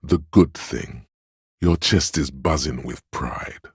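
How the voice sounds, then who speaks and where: Very deep, commanding voice of Authority. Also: male voice, slow, husky, no echo, clean studio sound, exaggerated voice. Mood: solemn, proud. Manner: imperious, clipped orders, addressing listener as recruit. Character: stern, commanding inner voice of a police officer's authority. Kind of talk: video game character narration.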